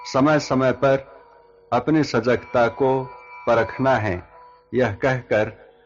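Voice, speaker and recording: A man leading a guided yoga nidra relaxation as instructor, giving measured instructions in short phrases with long pauses. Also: medium pitch, fairly even intonation, dull audio. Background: background music.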